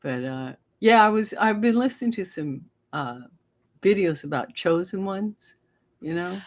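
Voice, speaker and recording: A woman's voice: on the low side, expressive, unhurried. A seventy-year-old woman chatting casually and affectionately as co-host of a live stream.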